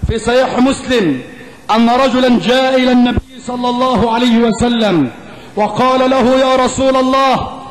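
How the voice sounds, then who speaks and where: A male preacher with an Egyptian accent delivers an Islamic sermon at a strikingly high pitch. His delivery is emphatic and rhetorical, built on repeated phrases and pointed questions. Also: steady tone, moderate pace, poor audio. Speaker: preacher giving a Friday sermon.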